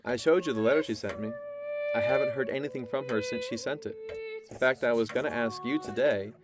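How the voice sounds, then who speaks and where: An actor playing a grave, concerned male scientist in an audio drama, speaking in a measured, formal way. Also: medium pitch, expressive, over loud music, natural voice. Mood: calm, probing. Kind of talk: audio drama acting, opening with a dictated log.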